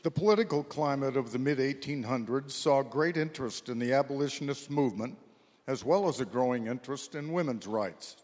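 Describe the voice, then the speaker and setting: A man's voice: medium pitch, animated, a little fast, a little mellow. A man reading scripted historical narration as the narrator of a staged readers theater. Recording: reverberant hall.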